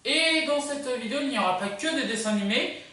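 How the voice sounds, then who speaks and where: A video host giving a casual, unscripted countdown in a hesitant, halting way, often correcting themselves. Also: high voice, animated, pushed and bright. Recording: very echoey room, far from mic.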